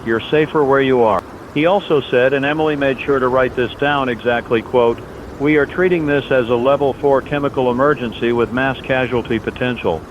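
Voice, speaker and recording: A man playing an earnest, steadfast overnight radio host in a scripted disaster drama, speaking in solemn, reassuring, deliberate phrases. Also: lightly acted. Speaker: late-night radio host in a fictional broadcast. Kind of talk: scripted radio drama monologue, emergency broadcast. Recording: dull lo-fi audio, loud outdoor rumble.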